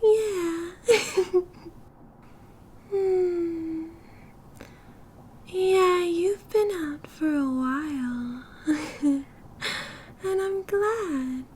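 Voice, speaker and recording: A woman's voice: very high voice, drawn-out words, long pauses, a little mellow, slight background noise, exaggerated voice. A British woman voicing a cheerful, possessive young yandere kidnapper in an ASMR roleplay, with a cutesy, doting manner. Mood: breezy, doting. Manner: giggly, cutesy, doting, breezy. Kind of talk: ASMR roleplay, intimate one-sided conversation. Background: car driving sounds.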